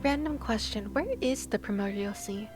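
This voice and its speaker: A woman's voice: mid-range voice, moderate pace. A woman livestreaming a video game, casually commenting, reading aloud and musing as she thinks things through. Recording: game music behind the voice. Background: video game music.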